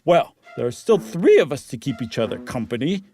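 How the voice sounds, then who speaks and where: A man's voice: mid-range voice, sing-song, warm tone, breathy edge, faint music behind. Man putting on a smirking, swooping ghost-story mocker. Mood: loud, puzzled. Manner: theatrical, teasing, drawn-out delivery.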